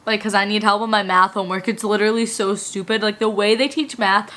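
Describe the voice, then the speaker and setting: A woman's voice: medium pitch, fairly even intonation, fast talker, a bit bright. A woman vlogger chatting casually and reading out old school emails, giggly and rambling, full of fillers.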